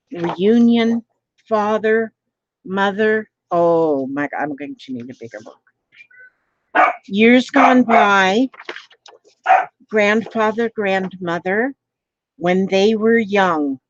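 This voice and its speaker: A woman hosting a live crafting stream, talking softly with her viewers and gasping over pretty papers. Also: medium pitch, slow pace, pauses often, dull audio. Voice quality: gentle voice. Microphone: phone.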